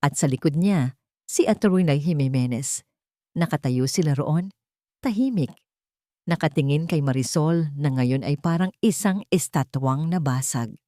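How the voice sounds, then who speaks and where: A woman's voice: low-pitched, dark tone, dry recording, studio quality. A woman narrating a scripted romantic drama as a storyteller.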